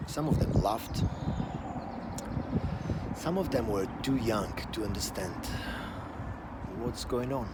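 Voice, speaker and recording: A man telling a personal story as a deliberate, dramatic monologue in short emphatic phrases, with a scornful edge. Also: mid-range voice, lively intonation. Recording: loud outdoor traffic-like ambient noise.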